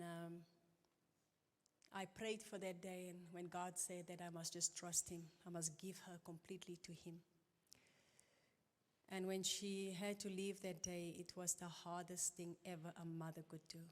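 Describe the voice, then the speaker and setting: Middle-aged woman, low voice, earnest halting testimony, long church-hall reverb. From South Africa. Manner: earnest, halting, emotional retelling with pauses. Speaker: conference guest speaker. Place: church auditorium.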